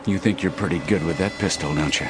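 A very low male voice , an actor's, as a menacing Old West bully in a western audio drama. He goads and threatens coolly, with faint music underneath. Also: fast, close to an everyday voice. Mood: taunting. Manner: cool, goading, threatening.

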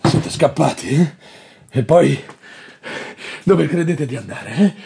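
Nasty drunk dad in an audio play, really gravelly, swings high and low, noisy background. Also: male voice, animated, takes it slowly, heavily acted. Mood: menacing, bitter. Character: violent, drunken abusive husband and father, vengeful. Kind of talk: audio drama acting.

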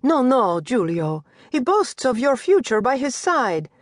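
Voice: mid-range voice, sing-song, measured pace, no echo, voice pushed up. A narrator voicing a doting royal mistress who coaxes her son with sweet Italian endearments, in a dry, close sound. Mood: coaxing. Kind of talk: audiobook character voice.

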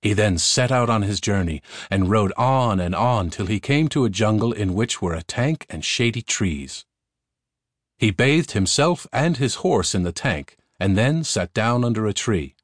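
A man's voice: fairly low pitch, lively intonation, unhurried, no echo, clean studio sound. A man narrating a fairy tale audiobook in a scripted storytelling style. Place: recording studio.

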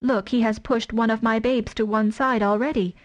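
A woman's voice: a little high, fairly even intonation, normal speed, lo-fi sound, lightly acted. A woman voicing a fierce, protective mother wolf who speaks with defiant force in a storybook reading. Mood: fiercely tender.

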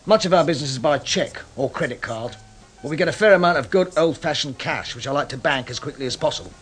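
An actor playing a shady, self-important nightclub boss in a radio crime drama, curt and menacing. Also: male voice, a little high, animated, fast, fairly bright tone, slightly rough, faint music behind. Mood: surly. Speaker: nightclub owner character.

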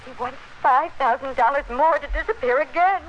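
Nervous female accomplice, high voice, pleading and dramatic, 1940s radio melodrama, dull noisy old recording. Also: a little fast. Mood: anxious. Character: frightened, anxious female accomplice in a fraud.

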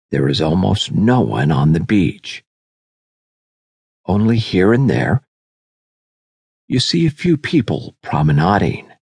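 A man narrating a scripted guided meditation in a soothing, measured, unhurried manner. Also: low-pitched, creaky, a little mellow, husky, no echo, studio quality. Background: background music.

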